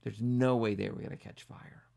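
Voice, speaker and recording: A man telling a children's bedtime story as a pastor, building it up dramatically from hushed to emphatic. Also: on the low side, steady tone, moderate pace, vocal fry.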